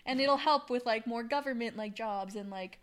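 This is a young Canadian woman co-hosting a casual podcast. Her voice sits a little high, and she tells stories in an animated way, laughing often between lines. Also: normal speed. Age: young adult. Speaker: podcast co-host. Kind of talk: casual chat.

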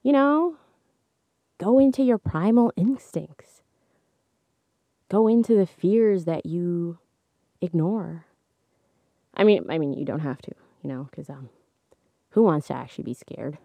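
Chatty young woman, slightly hoarse. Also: unhurried, pauses often, dry recording. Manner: chatty, laughs often, animated swings in pitch. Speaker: podcast host. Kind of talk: casual solo podcast talk. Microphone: studio microphone.

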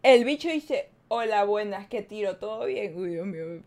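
A playful, chatty woman, hosting a casual live podcast. She talks easily and directly to her audience in a mid-pitched voice, reading their comments aloud as she goes. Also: lively intonation, moderate pace, darkish tone. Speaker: podcast host.

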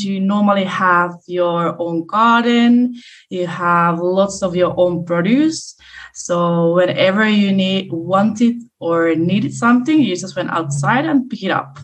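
A young woman from southeast Finland with a Finnish accent, a chef answering interview questions in an earnest way with hesitant restarts. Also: medium pitch. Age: young adult. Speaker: guest chef.

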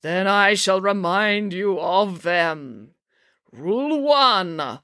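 A stern, theatrical storybook sorceress, resonant and imperious. Each phrase is drawn out slowly and intoned with big sweeps of pitch, recorded dry and close. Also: female voice, expressive, a bit bright, no room sound. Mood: intoning, imperious. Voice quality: resonant, booming. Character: grand exotic fortune-teller sorceress, stern and theatrical.

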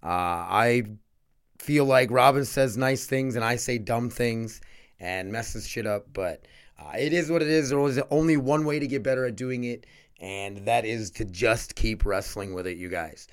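A man's voice: mid-range voice, normal speed, smooth. Rambling casual male podcast host. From North Dakota.

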